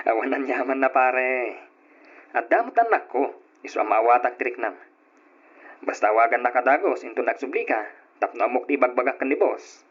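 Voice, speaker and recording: A kindly, easygoing workmate in a radio drama. He talks chummily in a medium-pitched voice on a dull, muffled recording. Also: expressive, normal speed, long pauses, fairly bright tone, husky. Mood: friendly. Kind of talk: old-style radio drama acting.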